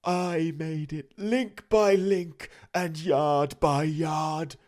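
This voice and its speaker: A man voicing a tormented male ghost in chains, howling his words in a drawn-out, mournful way. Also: a little high, fairly even intonation, a little mellow, pitched above the performer's own voice. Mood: wailing.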